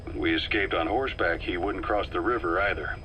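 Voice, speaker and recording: A wary military operative from a video game. His voice is low with a firm, bright edge, and he lays out information in a grave, measured way. Faint noise sits behind the recording. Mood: probing. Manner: measured, serious, expository. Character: grim older male military intelligence operative, wary and measured. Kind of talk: scripted video game radio briefing. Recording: noisy cassette tape with vehicle rumble.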